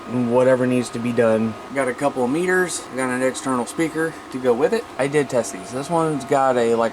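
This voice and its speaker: A man's voice: mid-range voice, poor audio. American man, casual vlog with background hum. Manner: colloquial, informal, thinking aloud. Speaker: hobbyist video host showing radios. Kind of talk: casual unscripted show-and-tell vlog.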